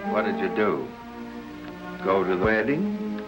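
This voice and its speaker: Stern, accusing, imperious man, expressive delivery, vintage radio melodrama over music. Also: on the low side, measured pace. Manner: haughty, accusing. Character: stern, imperious, suspicious artist. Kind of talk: old-time radio drama acting. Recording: old radio broadcast with music.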